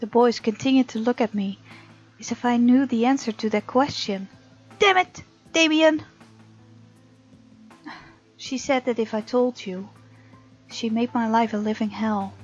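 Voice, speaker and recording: Higher-pitched woman, muffled mic, chatty gaming host. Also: measured pace, long pauses, slightly rough. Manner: chatty, reacts aloud to the story. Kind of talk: let's play: reading game text aloud with casual commentary. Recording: muffled lo-fi mic over game music. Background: game music.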